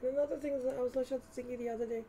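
A woman vlogger talks casually and hesitantly, thinking aloud as she browses. Her voice sits a little high with a mellow, relaxed tone. There is faint noise behind her in the recording. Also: rapid-fire. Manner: rambling, hesitant.

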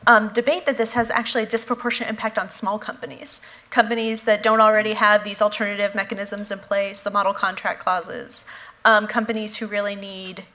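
A woman in her thirties moderating an onstage conference conversation, asking measured questions with frequent ums. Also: mid-range voice, level tone, pushed and bright. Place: conference stage before an audience.

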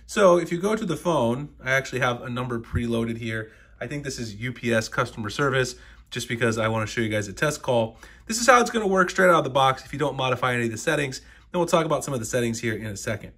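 A man's voice: mid-range voice, animated, fast talker, a bit bright, husky. An American man hosting a casual step-by-step tech tutorial in a conversational, explanatory way. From United States. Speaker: tech reviewer, tutorial host.

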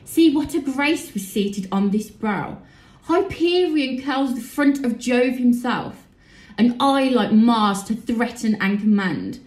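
A woman's voice: high-pitched, a little mellow. A woman playing a bitter, accusing young prince in a Shakespearean tragedy made as audio drama. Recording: echoey room.

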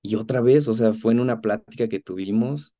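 A man of about thirty with a Mexican accent, giving an earnest, conversational personal testimony in a halting way over a video call. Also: fairly low pitch, mellow. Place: indoor room at home, on a video call.

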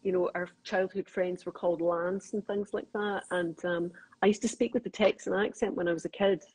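Chatty Glaswegian woman with a husky voice. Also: on the low side, fairly even intonation, darkish tone, low-quality recording. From Scotland. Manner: chatty storyteller, quick, laughs often. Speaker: crime novelist guest. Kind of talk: casual conversational interview.

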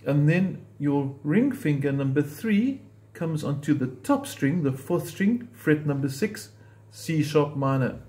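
A man's voice: on the high side, expressive, slow, warm tone. Patient man teaching ukulele chords. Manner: patient, methodical, explains while playing. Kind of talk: step-by-step instrument tutorial.

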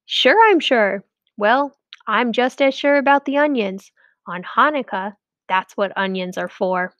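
A woman's voice: a little high, measured pace, a bit bright, no room sound, muffled recording. A woman voicing a confident, sage village rabbi from a storybook, pronouncing advice with assurance. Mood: assured.

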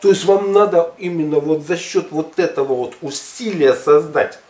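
A man in his sixties talking casually to camera in a halting, emphatic, colloquial way. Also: fairly high pitch, a little mellow. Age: 60s. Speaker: health and fitness vlogger. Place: furnished living room at home, indoors. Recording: echoey room, camera at a distance.

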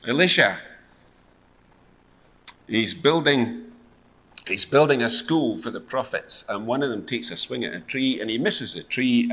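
A middle-aged man delivers a sermon from a lectern microphone. His mid-pitched voice moves very freely up and down as he tells stories, voices bits of dialogue and drops in wry rhetorical questions. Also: vocal fry, sharp tone, husky. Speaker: church preacher. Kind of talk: sermon with storytelling and acted-out dialogue. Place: church hall, speaking to a seated congregation. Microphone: lectern microphone and lapel mic.

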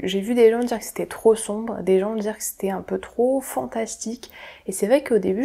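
Chatty young woman with a mellow voice. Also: medium pitch, vocal fry. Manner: chatty, self-deprecating, many fillers and restarts. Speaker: book vlogger. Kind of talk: casual book review talk. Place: home room, indoors.

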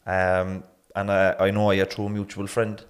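Host, thirties man, lowish pitch, relaxed casual interviewer, studio desk mic. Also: moderate pace. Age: 30s. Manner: relaxed, hesitant with ums. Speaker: podcast host.

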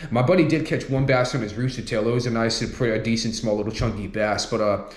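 A man's voice: mid-range voice, fairly even intonation, fast talker. A young man hosting a casual fishing vlog at home, speaking to a camera on his desk and rambling as he fills his talk with "you guys" and "you know.". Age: young adult. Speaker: fishing channel host. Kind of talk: casual lure review vlog. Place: home room with large window, indoors. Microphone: camera on desk facing him. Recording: echoey room.

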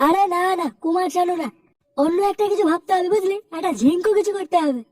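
A performer voicing a bossy young male club organiser in a cartoon comedy, giving brisk orders. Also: very high voice, darkish tone, no room sound, studio quality, recorded from a distance. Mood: planning, teasing.

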